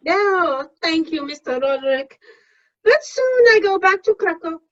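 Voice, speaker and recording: A high, very animated Polish cleaning woman played by an actress, deferential yet plain-spoken. She has a Polish accent and is heard through muffled video call audio in a radio play. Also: unhurried, exaggerated voice. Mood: tearful. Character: emotional, blunt Polish cleaning woman and waitress. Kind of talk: amateur radio play acting.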